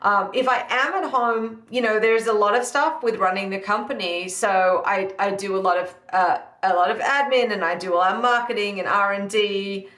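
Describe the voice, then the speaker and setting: An Australian woman in her thirties talks through interview answers in a bright, chatty way, dotted with ums and quick asides. Her voice sits mid-range and carries a noticeable room echo. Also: medium pitch. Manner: chatty, upbeat, many fillers. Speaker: guest, live fire cook. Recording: echoey room.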